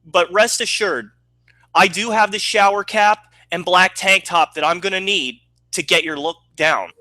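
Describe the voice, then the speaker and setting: A man's voice: high voice, a little slow, pushed and bright, no echo. A man talking to camera as a YouTuber, with a nasal voice and a boastful, sarcastic, crude manner. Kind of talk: mocking monologue to camera.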